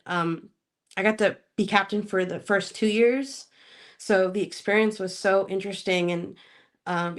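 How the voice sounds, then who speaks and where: A woman from Spokane, Washington, speaking as a podcast guest in casual interview chat, laughing often and pausing to search for words. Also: mid-range voice.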